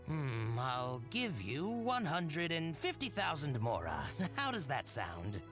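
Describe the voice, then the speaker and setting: A nervous video game shopkeeper, eager to please and easily flustered. His pitch leaps around while the delivery stays slow and halting. Music plays clearly behind him. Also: wildly swooping pitch, exaggerated voice. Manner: flustered, stammering, deferential.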